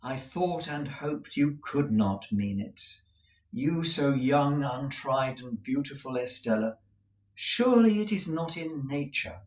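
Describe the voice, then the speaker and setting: A man's voice: mid-range voice, a little slow, distant mic, pitched above the performer's own voice, close to an everyday voice. A man reading aloud as an earnest young man who pleads in a trembling, devoted way. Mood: pleading. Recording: roomy echo.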